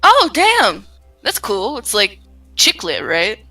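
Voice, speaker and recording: A bright-voiced woman with lively, swooping pitch reads character lines in a group game. She chats and reacts casually, with faint music in the background. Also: slow. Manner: animated, reactive. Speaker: co-player reading a character's lines.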